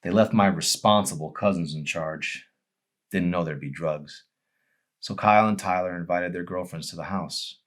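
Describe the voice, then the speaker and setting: A man's voice: sits low, moderate pace, fairly bright tone. A man voicing a hurt, emotional young girl in an audiobook, with an emphatic, pleading manner. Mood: sad recollection.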